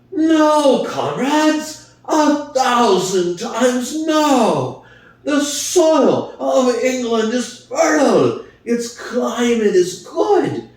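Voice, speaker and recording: A man's voice: very high-pitched, a little mellow, exaggerated voice. A man voicing an old, wise boar who gives a rousing revolutionary speech in a dramatic book reading. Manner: impassioned speechmaking, rhetorical questions, swelling emphasis. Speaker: reader. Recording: very echoey room, far from mic.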